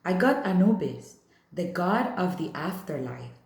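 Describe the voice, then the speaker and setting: An earnest young schoolgirl from a storybook, acted out by a woman reader. She is friendly but quick to take offence, and she speaks in an animated, insistent way. The room adds a clear echo. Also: lowish voice, heavily acted.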